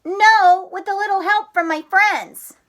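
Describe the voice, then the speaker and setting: A woman voicing an excitable, bossy young boy frog from a storybook, loud and eager. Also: extremely high pitch, unhurried, voice pushed up. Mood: excited.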